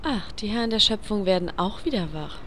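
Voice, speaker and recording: A woman's voice: mid-range voice, lively intonation, fairly quick, a bit bright, lightly acted. A woman playing a dry, sarcastic, exasperated adventurer in a comedy audio play. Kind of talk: radio comedy acting. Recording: light background noise.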